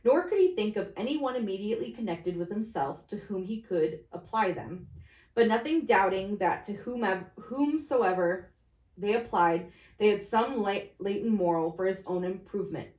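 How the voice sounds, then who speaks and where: A woman reads a classic tale aloud in an echoey room. Her voice sits at a middle pitch, and her homespun narration halts here and there as she stumbles on words. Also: expressive, darkish tone. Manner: halting reading with stumbles over words. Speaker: storybook reader. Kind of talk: amateur read-aloud of classic fiction. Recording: echoey, roomy sound.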